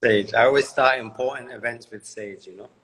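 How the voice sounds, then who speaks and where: A man's voice: medium pitch, fairly even intonation, fairly quick, a bit bright, husky, rough recording. A man from the UK, a guest on a livestream, explaining a serious issue in an earnest way. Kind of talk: casual livestream conversation. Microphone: phone livestream.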